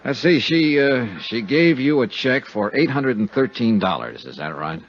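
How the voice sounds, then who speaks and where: Brisk American radio detective, swooping pitch. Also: male voice, sing-song, slight background noise. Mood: probing, dry. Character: brisk, dry, persistent male insurance investigator. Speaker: lead actor and narrator of a detective serial. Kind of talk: 1950s radio drama acting and first-person narration. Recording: dull 1950s radio recording, faint music.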